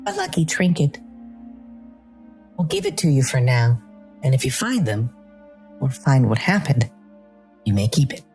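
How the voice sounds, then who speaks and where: A woman's voice: a bass voice, very animated, a little slow, long pauses, a little mellow, faint music behind, voice pushed up, exaggerated voice. A woman voicing a small orphaned fungus child in a fantasy story, naive and sorrowful, speaking cautiously and plaintively. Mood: hopeful.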